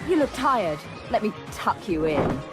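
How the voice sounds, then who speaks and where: A woman's voice: mid-range voice, very animated, measured pace, over music. A woman playing a sultry, confident, dangerous fighter in a video game, teasing and playfully taunting. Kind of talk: video game cutscene acting.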